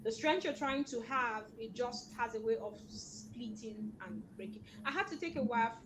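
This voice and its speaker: A woman from Nigeria talks in a slightly high voice, animated and storytelling, and acts out what others said. She is a guest in a casual chat over an online call, with faint hum behind her. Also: moderate pace. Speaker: guest, LinkedIn specialist.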